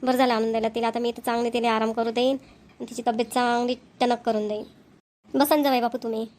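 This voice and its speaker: A woman's voice: a little high, little pitch movement, quick pace, darkish tone, close to an everyday voice. A woman voicing a doting, grumbling older mother-in-law in a family cartoon, with a folksy Vidarbha Marathi accent. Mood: welcoming, fussing. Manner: complaining, folksy, repetitive. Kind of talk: family comedy cartoon dialogue.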